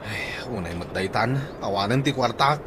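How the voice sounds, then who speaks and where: A man's voice: fairly low pitch, lively intonation, normal speed, very noisy, natural voice. A man playing a weary, timid, complaining husband in an Ilocano comedy radio drama, with plaintive exclamations.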